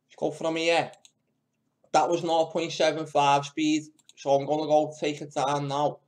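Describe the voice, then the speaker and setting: A man's voice: a little high, completely flat delivery, unhurried, darkish tone. A man hosting a video, muttering to himself while he fiddles with clips, with mocking and swearing asides. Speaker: video host reacting to news clips. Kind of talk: casual muttered commentary.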